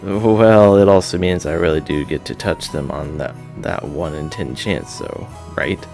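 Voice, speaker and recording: A man's voice: very deep voice, measured pace, gravelly, a little mellow, faint music behind. A man hosting a visual novel read-aloud, moving between informal chat and story narration.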